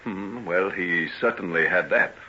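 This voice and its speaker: An actor playing a guarded Victorian gentleman doctor in an old-time radio drama, with measured, hesitant answers. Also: deep voice. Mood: earnest, careful. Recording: dull vintage radio recording.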